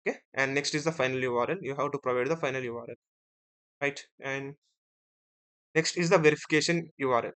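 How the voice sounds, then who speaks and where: A man teaching as an instructor in a screen-share software tutorial, explaining step by step and often checking in with short tag words. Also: medium pitch, fast talker, pauses often, smooth. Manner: step-by-step, often ending phrases with "right?" and "okay".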